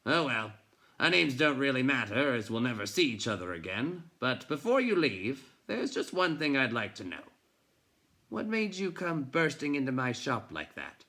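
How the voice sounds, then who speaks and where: A man acts out a cross old storybook shopkeeper with a gruff growl. He blusters and scolds with open scorn, his voice pushed up high and forceful, swinging widely as he grumbles. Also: very animated, moderate pace. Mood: suspicious, gruff. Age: older. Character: cross, gruff old bookseller who abides no children.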